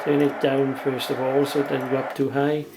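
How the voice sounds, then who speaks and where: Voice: mid-range voice, flat delivery, normal speed, very noisy. A hobbyist presenter giving a casual beginner's how-to, talking plainly and without hurry through each step while working.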